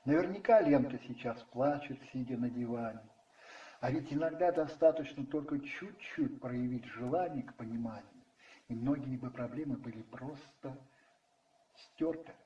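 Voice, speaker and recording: Mellow, echoey male radio drama narrator. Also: medium pitch, dull audio, a little hiss or hum. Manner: measured, pausing between phrases. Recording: roomy echo, distant, faint music behind.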